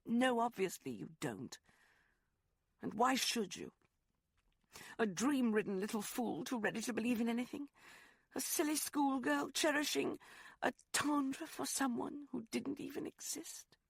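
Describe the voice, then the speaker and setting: A man voicing a proud, hurt young woman in an audiobook, pleading and sharp. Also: very high-pitched, a little slow, vocal fry, slightly rough, no echo, voice pushed up, exaggerated voice. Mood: wounded. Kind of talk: audiobook character voice.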